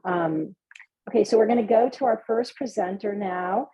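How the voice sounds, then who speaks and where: Female event host, slightly husky and dark-toned, halting informal introductions over a dull video call. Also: medium pitch. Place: live online video meeting. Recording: muffled, lo-fi video call audio.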